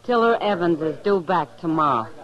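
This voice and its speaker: A woman's voice: fairly low pitch, expressive, normal speed, close to an everyday voice. An American actress playing a worried, frank frontier saloon woman in a 1950s radio western, confiding and informal, on a muffled recording. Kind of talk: 1950s radio drama acting.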